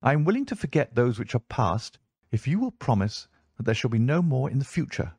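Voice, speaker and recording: Male reader as a troubled husband, husky, animated pitch, stern, insistent, audiobook dialogue. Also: fairly quick, no echo. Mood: firm. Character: troubled, suspicious husband confronting his wife.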